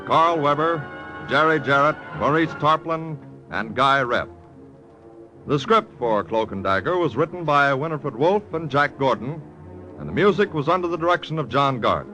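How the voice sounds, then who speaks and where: A man's voice: a little high, rough recording. A man reading scripted credits as an announcer in 1940s radio style, on a vintage recording.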